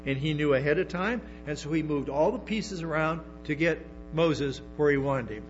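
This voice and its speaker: A man's voice: a little high, normal speed. A man preaching a sermon to a congregation, stumbling through restarts and tossing in emphatic asides. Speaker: pastor. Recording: dull lo-fi sermon recording with music and hum.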